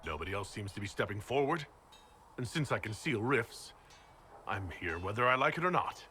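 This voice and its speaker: A man playing a composed, earnest fantasy hero in a video game, measured and inquisitive. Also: lowish voice, very animated, sharp tone, a little hiss or hum. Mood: earnest, resolute.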